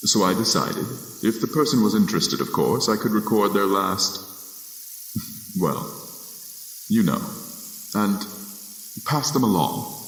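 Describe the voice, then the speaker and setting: A man's voice: fairly low pitch, expressive, unhurried, gravelly, pauses often, a little hiss or hum. A young man acting in a radio drama as a polite, businesslike Death figure with a hesitant, understated, formal manner. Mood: hesitant, earnest. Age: young adult. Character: polite, unhurried Death-like collector, modest and businesslike. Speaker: student voice actor. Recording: echoey, long reverberant room sound.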